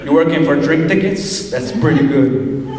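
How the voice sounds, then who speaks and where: A man's voice: a little high, moderate pace, crowd noise, voice pushed down, lightly acted. A man on a comedy stage voicing a proud, teasing, very Mexican middle-aged father with a theatrical Mexican accent. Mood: mocking. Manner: boastful, needling, theatrical. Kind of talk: stand-up comedy act-out. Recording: echoey hall, far microphone, audience laughter.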